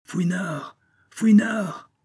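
In this storybook reading, a plucky young woman gasps out her words, high-pitched and urgent, as a man puts her on. The sound underneath is dark and slightly husky. She presses on, bold despite being out of breath. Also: drawn-out words, exaggerated voice. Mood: urgent, breathless. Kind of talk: audiobook character voice.

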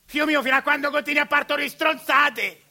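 Brief: sarcastic elderly Roman grandfather, put on by a male actor, loud and drawled, higher than the actor's own voice. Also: fairly even intonation, heavily acted. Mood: scornful. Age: over 60. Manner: scornful, loud, drawn-out retorts.

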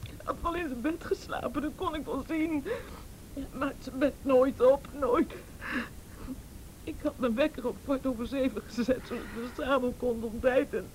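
A woman plays an anxious, pleading mother in a radio drama. Her voice is high and emotional, halting as she defends herself. The recording carries a faint rumbling noise. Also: fairly even intonation, darkish tone, lightly acted, rough recording. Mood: anxious, defensive. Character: distraught, defensive, pleading mother of teenage son. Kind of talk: Dutch radio play acting.

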